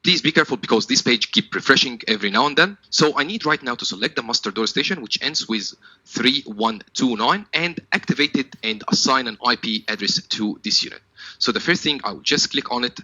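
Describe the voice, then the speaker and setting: A man's voice: medium pitch, animated, a little fast, bright, forceful tone, slightly rough, no echo, highs cut off. A man working as a technical trainer, giving a step-by-step product tutorial in a methodical, instructional manner.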